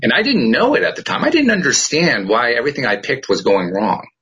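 A man's voice: mid-range voice, huge pitch swings, a little fast, fairly bright tone, slightly rough. A middle-aged man, a podcast host, talking earnestly and reflectively in a casual conversation.

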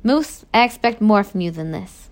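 A woman voicing a stern, angry middle-aged male prison warden in a storybook, lecturing children forcefully. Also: mid-range voice, animated, normal speed, close to an everyday voice. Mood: reproachful.

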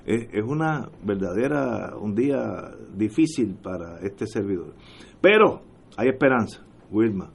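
A man's voice: fairly low pitch, expressive, measured pace, creaky, a little hiss or hum. A man with a Puerto Rican accent hosting a political talk show, speaking emphatically and passionately and repeating phrases for emphasis. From Puerto Rico. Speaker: radio talk show host. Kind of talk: political commentary.

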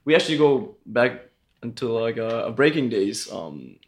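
Soft-spoken man from New York. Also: medium pitch, big pitch swings, moderate pace. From Schenectady, New York. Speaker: DJ and dancer guest. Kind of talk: casual interview chat.

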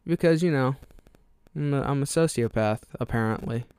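A man's voice: medium pitch, normal speed, darkish tone, clear, resonant tone, no room sound. Rambling man with a neutral American accent. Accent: fairly neutral American. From Alabama. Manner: rambling, self-deprecating, loses track; casual, frequent fillers and laughs. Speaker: podcast host. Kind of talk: solo rambling podcast monologue.

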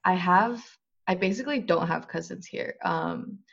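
A chatty young woman in easy conversation with friends, her fairly low voice full of vocal fry. She fills her talk with "like" and laughs often. The audio is a little dull and lo-fi. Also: moderate pace. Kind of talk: casual chat.

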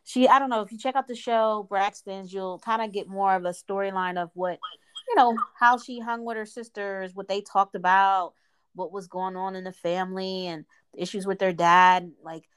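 A woman's voice: medium pitch, steady tone, normal speed, a bit bright, clean tone. A woman co-hosting a podcast, congested from a cold, rambling informally with many fillers. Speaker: podcast co-host. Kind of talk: casual chat.

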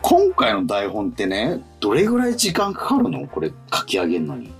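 A man's voice: mid-range voice, wildly swooping pitch, a little fast, creaky, faint music behind. A man co-hosting a casual radio after-show chat, feeling his way through sentences and often rephrasing. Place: radio studio.

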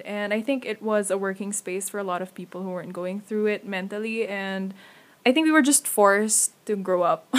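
Young Filipina host, casual chatty delivery, recorded away from her usual setup. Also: mid-range voice. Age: young adult. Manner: chatty, laughs often, trails off softly. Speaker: podcast host. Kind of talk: casual podcast chat.

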